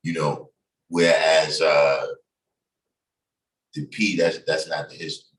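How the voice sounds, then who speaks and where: A man's voice: very deep voice, flat delivery, slow pace. A middle-aged man from the Bronx with a New York accent, talking loosely on his livestream with lots of fillers. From New York City, Bronx. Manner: loose, conversational, many fillers. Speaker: livestream host. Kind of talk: casual livestream talk. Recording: echoey room, distant from mic.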